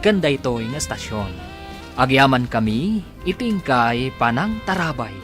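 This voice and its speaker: Guy announcing a radio drama, dramatic swinging delivery, music clearly playing behind him. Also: mid-range voice, expressive, a little slow. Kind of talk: dramatic scripted narration.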